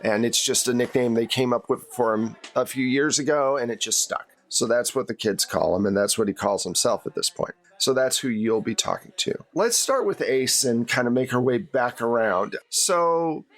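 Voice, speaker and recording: A man's voice: medium pitch, moderate pace, few pauses. Conversational man running a tabletop game. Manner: conversational, explanatory. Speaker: game master. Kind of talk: improvised tabletop game narration.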